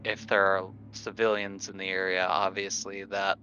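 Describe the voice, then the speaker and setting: A man playing a tabletop game, talking casually and deliberately with some hesitation over a dull lo-fi mic. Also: low-pitched, flat delivery, sharp tone. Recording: dull lo-fi mic, faint noise.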